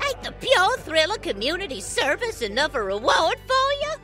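A woman voicing a brash, scheming snow woman big sister with a drawl. Her voice is high, bright and lively, with faint music behind it. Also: normal speed, exaggerated voice. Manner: brash, bossy Southern drawl.

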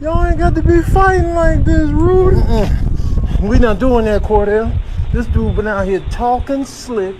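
Man as a high-pitched trash-talking street animal. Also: a little slow, noisy background. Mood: agitated. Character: scrappy street animals squabbling, loud and slangy. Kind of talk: comic animal voice-over.

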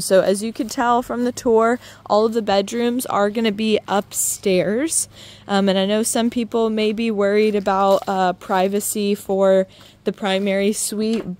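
A woman real estate agent walks through a home, narrating each room in a bright, chatty, enthusiastic way. She speaks at a middling pitch and sounds like she is holding the phone she films with. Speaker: real estate agent giving a house tour. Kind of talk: walking house tour narration. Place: inside and around a house, voice-over while filming.

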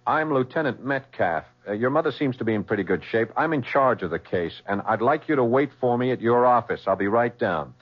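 An American actor playing a businesslike, persistent homicide lieutenant in a radio drama, measured and probing. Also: male voice, medium pitch, expressive, slightly rough. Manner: measured, probing, matter-of-fact. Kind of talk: 1970s radio drama acting. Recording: muffled vintage radio broadcast.

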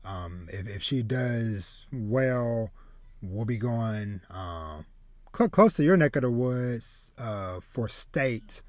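A man in his thirties hosts a casual podcast in a halting way, doubling back on his words. The sound is close and dry, with no room on it. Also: mid-range voice, lively intonation, a little mellow, no echo. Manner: halting, trailing, repeats words. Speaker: podcast host. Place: small home room with shelves, video call. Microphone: close desk mic.

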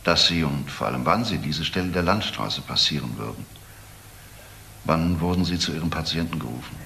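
Casting: senior detective, middle-aged, deep forceful voice, probing and deliberate, crime radio play. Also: male voice, animated, creaky, roomy sound, slight background noise, heavily acted. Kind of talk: German crime radio drama acting.

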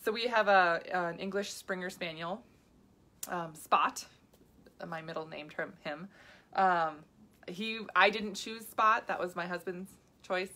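A woman's voice: on the low side, unhurried, bright, forceful tone. A woman in her thirties, a health coach, chatting casually to viewers on a live video with many asides and restarts.

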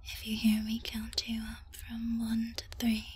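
A woman whispering a guided ASMR hypnosis script in a breathy voice, soothing yet commanding and suggestive, with drawn-out pauses. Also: fairly high pitch, monotone, very noisy.

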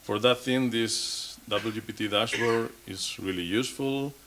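Halting man giving a tech talk. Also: on the low side, background noise. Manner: halting, many restarts and repeated words. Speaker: conference speaker. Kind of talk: technical conference talk. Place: conference stage.

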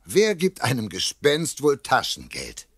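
A man's voice: medium pitch, huge pitch swings, unhurried, breathy edge, no room sound, studio quality, heavily acted. A man narrating a children's audio drama in a scripted storytelling style. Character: storytelling narrator and castle tour guide.

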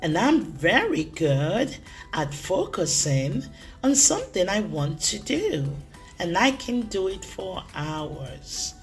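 Lady doing a bubbly little goat kid for a storybook, super swoopy, really slow, music behind. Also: on the low side. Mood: determined. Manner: bubbly, expressive, drawn-out emphasis. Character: excitable, playful young goat kid who loves making friends laugh. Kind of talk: first-person children's storybook read-aloud.

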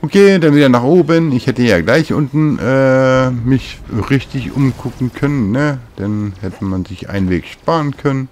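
A man's voice: medium pitch, moderate pace, few pauses, darkish tone, slight background noise. A man casually commenting on a video game as he plays, muttering to himself, with game music behind him. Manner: chatty, mutters to himself while playing. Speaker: let's play host.

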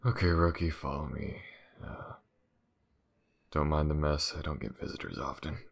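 A man playing a gruff, weary, aloof detective in an audio roleplay, speaking haltingly and informally to the listener in a husky mutter. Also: fairly even intonation, full character voice. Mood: weary, reluctant. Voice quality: low, gravelly, husky. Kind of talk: intimate audio roleplay acting, addressed to the listener.

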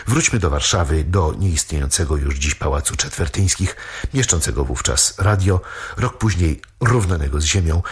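A man presenting radio history in measured, scripted narration. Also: a bass voice, breathy edge. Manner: measured, informative.

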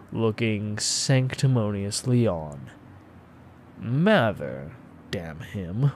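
An impassioned, scornful painter , acted out by a man holds forth in a horror audiobook. He speaks slowly and theatrically, leaning on his words with dark, secretive fervor. A faint layer of noise sits under the voice. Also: mid-range voice. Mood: scornful, insistent. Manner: theatrical, emphatic, declamatory, with exclamations. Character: impassioned, scornful, secretive male painter obsessed with macabre antiquity. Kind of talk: dramatic audiobook reading of horror fiction.

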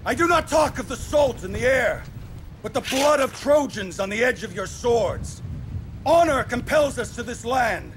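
High-pitched, rousing Greek war captain. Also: male voice, lively intonation, moderate pace, a little hiss or hum, rough recording. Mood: rousing, battle-fierce. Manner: declamatory, shouting orders. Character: battle-hardened Greek warrior captain, bold and rousing. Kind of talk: video game cutscene acting. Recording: loud music behind.

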